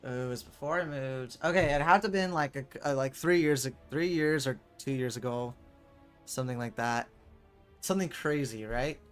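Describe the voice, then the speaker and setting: An eighteen-year-old man casting a competitive VR shooter match alone, rambling with lots of fillers between rounds and jumping loud and high when plays happen. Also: moderate pace, smooth. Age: young adult. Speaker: esports caster. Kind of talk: solo play-by-play game commentary with viewer chat. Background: game music.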